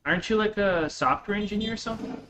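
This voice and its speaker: A man's voice: high-pitched, fast, sharp tone. A man with a slight Russian accent, chatting casually and in slang as he streams a game to viewers. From Russia, moved to the United States at eleven. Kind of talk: casual live-stream chat while gaming. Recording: dull lo-fi mic with faint noise.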